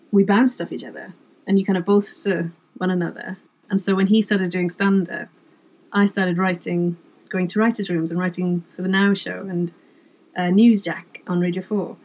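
A middle-aged Welsh-speaking woman from Wales chats easily in an interview, quick to laugh at herself. Her voice sits at an ordinary middle pitch. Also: fairly even intonation, darkish tone, poor audio. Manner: chatty, self-deprecating, laughs often. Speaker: comedy writer, guest. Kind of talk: casual interview chat.

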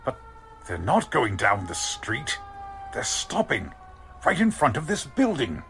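An actor playing a curt, wary gang boss in a 1940s-style radio crime drama. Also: male voice, medium pitch, huge pitch swings, measured pace, gravelly, fairly bright tone, a little hiss or hum, full character voice. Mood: alert, urgent. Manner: curt, commanding. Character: male gang boss, commanding, wary crook.

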